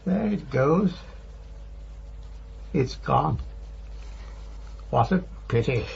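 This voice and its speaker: An actor playing a vague, forgetful old man in a radio drama, creaky and halting, bewildered and repeating himself. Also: fairly high pitch, expressive, heavily acted. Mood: groping for memory. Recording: dull radio recording, faint noise and hum.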